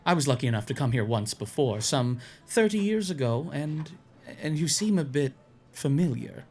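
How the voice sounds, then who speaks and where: A man's voice: a little high, expressive, moderate pace, darkish tone. A man playing an enthusiastic, curious, teasing bard in a fantasy audio drama. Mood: cheerful, curious. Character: excitable, curious male bard, a tavern patron.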